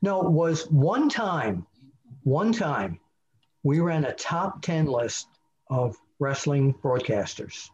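A man with a slightly high voice tells stories on a podcast and laughs often. His audio sounds slightly dull and muffled. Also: sing-song, takes it slowly, darkish tone. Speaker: co-host, former magazine editor. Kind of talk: casual podcast chat.

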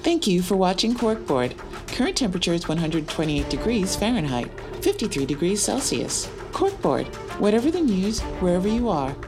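A woman's voice: fairly low pitch, big pitch swings, normal speed. A woman playing a slick corporate news channel announcer in an audio drama, reading a scripted station message. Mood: slick, formal. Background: loud music.

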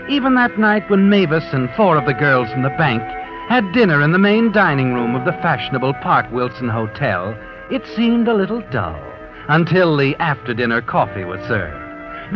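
Ominous knowing storyteller, dramatic rise and fall, portentous, muffled 1940s radio with music behind. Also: male voice, fairly high pitch. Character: mysterious male night-walking storyteller, ominous and knowing. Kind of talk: 1940s radio mystery narration.

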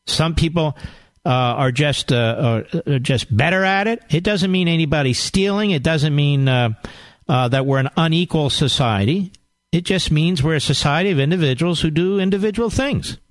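A man's voice: on the high side, normal speed, no echo. Emphatic male radio talk host. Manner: emphatic, argumentative, lecturing.

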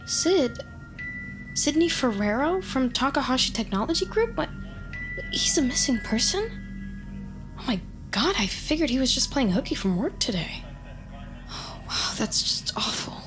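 A woman's voice: high voice, very animated, measured pace, long pauses, music in the background. A woman playing a nervous, chatty, awkward neighbor in an audio drama, hesitant and rambling in an earnest way. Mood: nervously chatty.

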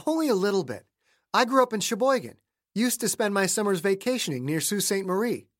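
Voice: medium pitch, sing-song, fairly quick, voice pushed up, lightly acted. A narrator voicing a cheeky, teasing woman pilot.

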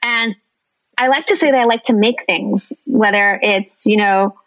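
A middle-aged woman raised between Venezuela and the United States, speaking as a guest in a conversational interview, animated and quick to laugh. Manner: animated, laughs often, high bursts when quoting others. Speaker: guest, company founder and illustrator. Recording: slightly dull lo-fi audio.

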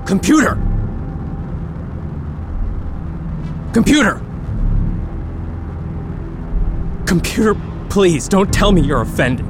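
A desperate, high-voiced space traveler. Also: male voice, full character voice. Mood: angry, bitter. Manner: urgent, emotional, defiant. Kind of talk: scripted audio drama acting.

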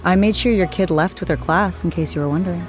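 A woman's voice: fairly low pitch, music in the background, natural voice. An actress playing a dry, sardonic, flirtatious woman in a naturalistic audio drama. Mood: wry, dry. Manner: deadpan, sardonic, unhurried.

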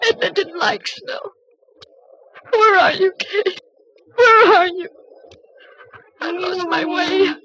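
A woman's voice: very high-pitched, slow pace, pauses often, full character voice. A woman playing a distraught, unhinged young woman in an old-time radio horror drama, pleading tearfully and repeating herself. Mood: lost, tearful. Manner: repetitive, singsong, tearful pleading. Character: distraught young woman, unhinged, calling for her lost friend. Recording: dull vintage radio recording with loud music.

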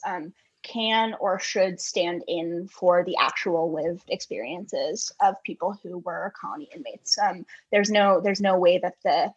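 Husky woman reflecting aloud. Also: medium pitch, lo-fi sound. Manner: earnest, halting, repeats words as she reasons. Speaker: guest author, poet and essayist. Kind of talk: thoughtful interview answer.

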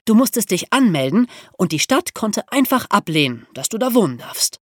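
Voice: mid-range voice, very animated, normal speed, no room sound, clean studio sound. A podcast presenter explaining history as a story, with dramatic emphasis and rhetorical questions.

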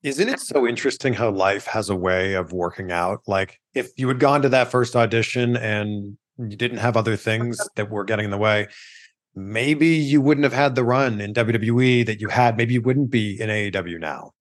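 A man's voice: fairly low pitch, moderate pace. A man hosting an interview in a conversational style, putting questions and remarks to his guest. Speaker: interview host.

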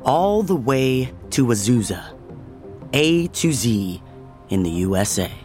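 Male narrator for fiction podcast. Medium pitch, measured deliberate phrasing, music bed underneath. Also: big pitch swings, a little mellow. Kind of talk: scripted audio drama narration.

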